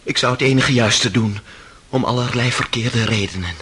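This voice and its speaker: A man's voice: mid-range voice, normal speed, bright, forceful tone, husky. An actor playing a bitter, tormented intellectual man in a radio play, sardonic and theatrical, brooding and given to outbursts. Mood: cynical, brooding. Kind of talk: dramatic radio play acting. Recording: noisy old radio play recording.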